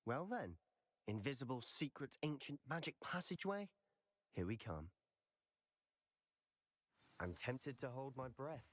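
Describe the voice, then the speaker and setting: A bold schoolboy wizard for a game. The voice moves around a lot, wry and hungry for adventure, recorded close and dry. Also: male voice, mid-range voice, big pitch swings, measured pace, long pauses, dry recording. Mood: excited. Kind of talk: video game cutscene acting.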